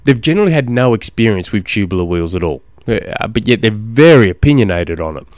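A man hosting a casual solo podcast, slightly hoarse from a cold, rambling with many ums and restarts. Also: lowish voice, very animated, fairly quick, darkish tone, dry recording, studio quality. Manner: rambling, self-deprecating, lots of ums and restarts. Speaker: podcast host and cycling coach.

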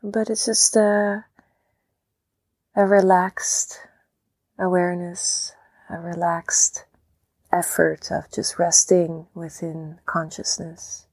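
A woman leading a guided meditation, speaking calmly and gently in short phrases with long pauses. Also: on the low side, dry recording. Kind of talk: guided meditation, softly spoken instruction.

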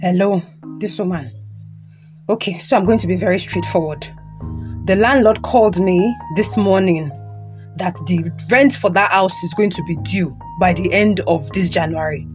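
A man's voice: sits high, moderate pace, close to an everyday voice. A man plays a cold Nigerian husband in an audio drama. He is blunt and contemptuous, handing out commands and repeating them. Faint music sits behind the voice. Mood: cold, stern. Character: cold, contemptuous husband ordering his wife out.

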